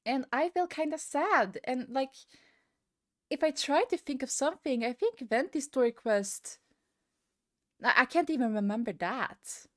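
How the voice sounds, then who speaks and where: A woman's voice: sits high, measured pace, fairly bright tone. A woman giving a casual, rambling opinion rant as a gaming video creator, often hesitating and repeating herself.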